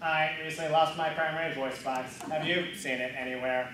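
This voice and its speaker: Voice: medium pitch, steady tone, moderate pace, very echoey, far from the mic, noisy background, pitched below the performer's own voice, close to an everyday voice. A speaker voicing an emotionless robot that recites stored phrases in a stilted way. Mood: flat, mechanical. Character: flat robot voice box reciting backup phrases.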